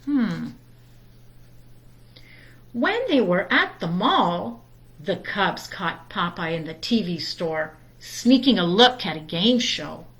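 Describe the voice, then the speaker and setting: A woman reading a children's story aloud in a deliberate, expressive storytime manner. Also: lowish voice. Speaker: storytime reader.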